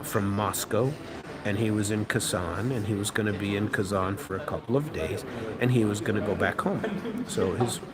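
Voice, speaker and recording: An American man and radio host telling a rambling story with many fillers and restarts. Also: fairly low pitch. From United States. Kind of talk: casual storytelling monologue. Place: restaurant or bar, eating while talking.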